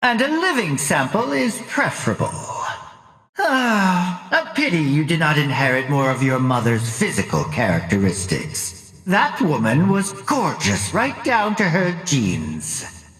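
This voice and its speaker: An actor playing a pompous middle-aged mad scientist in a video game, sinister and gloating, drawling his lines with relish in a raspy voice. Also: a little high, very animated, unhurried, a bit bright, no echo, exaggerated voice, poor audio. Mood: cold, clinical relish. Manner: pompous, drawling, relishing menace with sly chuckles. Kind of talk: theatrical video game villain acting.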